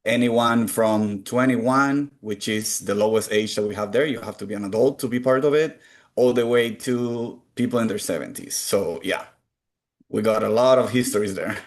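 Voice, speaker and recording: A man's voice: fairly low pitch, moderate pace. Earnest Cuban-born man. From Cuba. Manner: earnest, open and reflective. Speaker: guest. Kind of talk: conversational interview answers.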